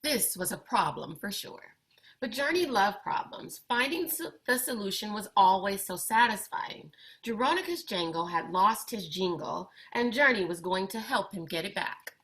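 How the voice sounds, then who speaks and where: A woman reading a children's picture book aloud with deliberate, expressive phrasing. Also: medium pitch, low-quality recording. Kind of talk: read-aloud storytelling for children.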